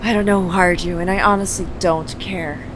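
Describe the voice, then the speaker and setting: A woman's voice: on the low side, fairly even intonation, moderate pace, noisy background, natural voice. A woman playing a foul-mouthed, defiant mercenary turned bounty hunter in an audio drama, sassy, sarcastic and combative. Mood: threatening. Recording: loud music behind the voice. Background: loud music.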